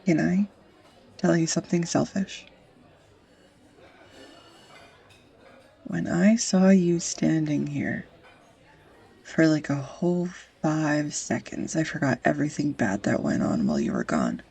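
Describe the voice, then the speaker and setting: A woman acting an anxious, tender young woman in an intimate audio roleplay, speaking in a halting, confessional ramble on a close microphone. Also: sits low, lively intonation, creaky, warm tone, breathy edge, full character voice. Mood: tender, vulnerable. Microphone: close USB studio microphone.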